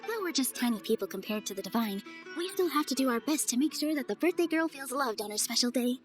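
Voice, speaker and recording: An actress playing a kind, graceful young festival dancer in a video game, speaking softly and earnestly. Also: female voice, sits high, animated, fast, over music. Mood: wistful, storytelling. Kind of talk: video game character voice acting.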